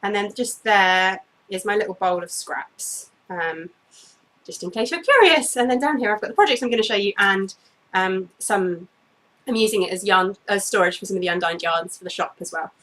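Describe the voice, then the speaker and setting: A woman in her thirties talks casually to camera from her bedroom, a bit sniffly. Her voice is bright and clear, and she rambles off on tangents, apologizing with frequent ums. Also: medium pitch, moderate pace, rough recording. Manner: chatty, apologetic, goes off on tangents with many ums. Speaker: knitting podcast host. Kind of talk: casual video podcast chat. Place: bedroom at home, indoors.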